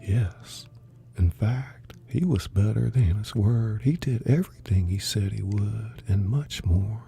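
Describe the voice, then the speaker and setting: Guy acting as kindly old-tale storyteller, super soft dark tone, slow and reflective, gentle. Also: lowish voice, gravelly. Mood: reassuring, reflective. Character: kindly storyteller narrator recounting Scrooge's tale to young listeners.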